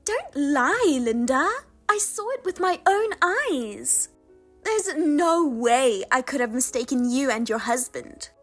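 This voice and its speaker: A woman plays a husband-stealing younger sister in a voiced drama, smug and taunting, her high voice leaping all over as she drags out her words. Music plays underneath her. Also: very animated, full character voice. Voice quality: high and sing-song, taunting. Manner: mocking, self-satisfied, drawn-out teasing.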